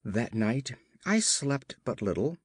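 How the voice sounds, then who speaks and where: A man's voice: mid-range voice, very animated, slow pace, slightly rough, no echo, studio quality. A man reading a horror story as an audiobook narrator, in a dramatic, theatrical and emphatic way.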